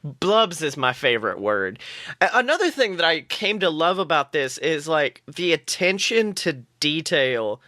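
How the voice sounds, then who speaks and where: A man's voice: a little high, very animated, measured pace, pushed and bright, dry recording, studio quality. A man co-hosting a podcast, chatting casually and animatedly about a TV show, swearing freely. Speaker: podcast co-host.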